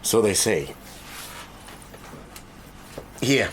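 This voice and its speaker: A man's voice: fairly low pitch, expressive, drawn-out words, a little hiss or hum. An actor playing a reserved engineer and father searching for his son in a radio drama, speaking in a terse, formal, guarded way. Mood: anxious.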